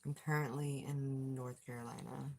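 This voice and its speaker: An older woman with a low, husky voice chatting casually with viewers on a phone livestream. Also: steady tone, normal speed, a little mellow. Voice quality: low, gravelly voice. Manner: chatty, reads and answers chat aloud. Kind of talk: casual live chat with viewers. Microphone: phone without external mic.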